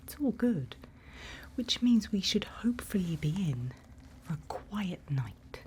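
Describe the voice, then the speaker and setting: A woman playing a calm, reassuring monster-hunting guide in a whispered ASMR roleplay, speaking in a hushed, patient, breathy way. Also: on the low side, a little slow, noisy background. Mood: reassuring, apologetic. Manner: hushed, patient, instructive, soothing.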